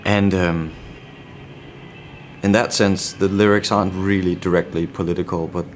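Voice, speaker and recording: A man from Denmark with a Danish accent, a band member answering interview questions in a reflective way, often pausing to search for words. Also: deep voice, level tone, a little hiss or hum.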